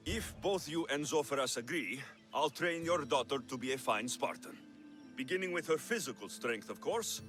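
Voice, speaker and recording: Video game role: male actor as confident young Spartan mercenary, animated delivery, light music behind. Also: medium pitch, normal speed, close to an everyday voice. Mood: confident, instructive. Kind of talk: scripted video game cutscene acting.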